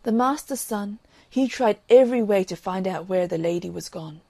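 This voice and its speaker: Woman, fairy tale audiobook narration, medium pitch, creaky edges, expressive storybook phrasing. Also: normal speed, no room sound.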